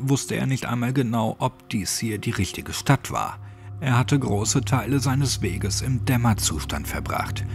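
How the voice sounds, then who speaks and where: A man reading a scripted horror story as an audiobook narrator. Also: mid-range voice.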